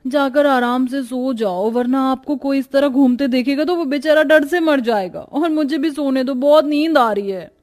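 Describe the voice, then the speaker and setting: A woman's voice: sits high, normal speed, few pauses, a little mellow, lightly acted. A woman voicing a drowsy, naive young bride in a cartoon family story. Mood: sleepy, dismissive.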